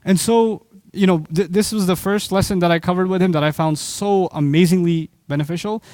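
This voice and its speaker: A man from Pakistan giving an animated religious lecture, asking the audience rhetorical questions. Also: sits high, fairly quick, no room sound. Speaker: Islamic speaker.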